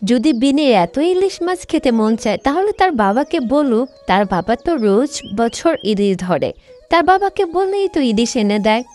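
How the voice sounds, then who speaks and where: Cartoon village mom, female actor: high, animated pitch, sharp tongue, expressive. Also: normal speed. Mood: scolding. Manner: scolding, expressive.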